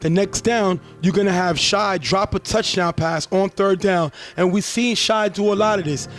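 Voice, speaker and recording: A man hosting a casual sports talk show, a bit hoarse as he loses his voice, breaking down plays in a chatty way. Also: sits high, moderate pace, faint music behind. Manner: relaxed, chatty play-by-play breakdown. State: losing his voice. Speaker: show host, sports commentator.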